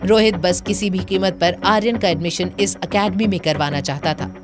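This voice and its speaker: Woman narrating a drama over soft music. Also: lowish voice, steady tone, normal speed. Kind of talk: dramatic scripted story narration.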